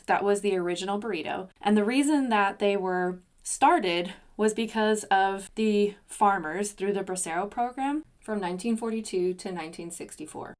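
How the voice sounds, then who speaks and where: A young adult woman hosting a solo podcast, chatty, with self-deprecating asides. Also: mid-range voice. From United States. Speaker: podcast host.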